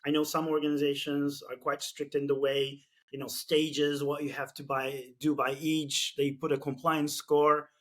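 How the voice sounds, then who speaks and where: A man's voice: a little high, flat delivery, normal speed. A man from Turkey, speaking English with a Turkish accent, hosting a casual business podcast and thinking aloud with many fillers and restarts. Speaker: podcast host.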